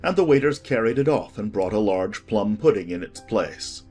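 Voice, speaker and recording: An audiobook narrator reading a children's story in a measured, expressive scripted style. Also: medium pitch, slightly rough, soft background music.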